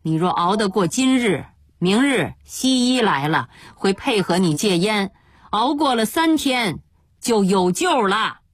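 A woman narrator voicing a calm, stern male friend who gives steady admonishing advice. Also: high-pitched, unhurried, husky, no echo, clean studio sound, heavily acted. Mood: firm reassurance.

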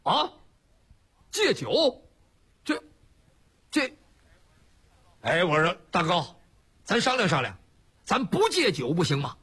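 Male storyteller as hot-headed outlaw brute, high-pitched and husky, blunt, blustering, plaintive. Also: wildly swooping pitch, slow pace, long pauses, heavily acted. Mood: protesting. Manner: loud, blunt, wailing and pleading. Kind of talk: traditional Chinese storytelling performance.

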